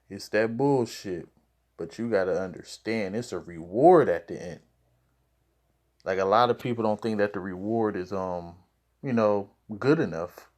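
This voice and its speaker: A man's voice: fairly low pitch, a little mellow. A man preaching informally to camera, explaining scripture in a conversational way and checking in with "all right?". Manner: conversational, with repeated "all right?" and "you know".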